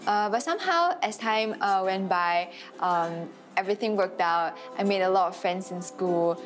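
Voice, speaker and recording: A young woman from China, a reality show contestant, speaking in casual chat with soft, hesitant fillers. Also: mid-range voice, normal speed, faint music behind.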